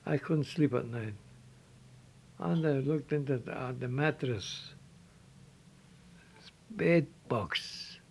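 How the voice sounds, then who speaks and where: An older man from Switzerland with a Swiss German accent, telling his life story in halting English with repeated words and restarts. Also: mid-range voice, creaky, a little hiss or hum. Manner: halting, repeats words, non-native phrasing. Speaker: interviewee.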